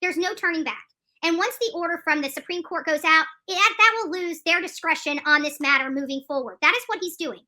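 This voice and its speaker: A woman giving live, opinionated legal commentary, emphatic and halting, repeating phrases for stress and often restarting her sentences. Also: very high voice, pushed and bright. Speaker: streamer commenting on court news.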